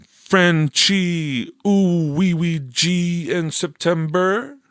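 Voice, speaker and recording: A man voicing a nervous seventh-grade boy in a story, halting and mumbling as he tries to bluff. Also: high voice, a bit bright, smooth, no room sound, studio quality, voice pushed up, full character voice. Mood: uncertain, bluffing. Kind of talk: audiobook character voice.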